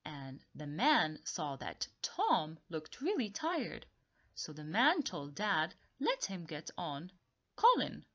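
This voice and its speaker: A lower-voiced woman guides young children through a read-along story lesson. She explains deliberately and repeats key words, with highly animated pitch movement. The recording is a little dull and lo-fi. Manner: slow, deliberate explaining with repetition. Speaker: teacher reading aloud.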